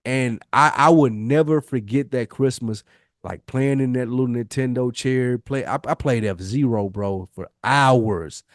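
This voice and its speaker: A man's voice: medium pitch, a little slow, dry recording, studio quality. A man in his forties telling personal stories in casual podcast chat, slangy and profane, repeating phrases for emphasis. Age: 40s. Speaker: podcast co-host.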